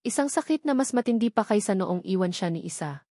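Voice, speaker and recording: Female narrator for dramatic romance audio story, mid-pitched, dry recording. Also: expressive, brisk, mellow, no room sound.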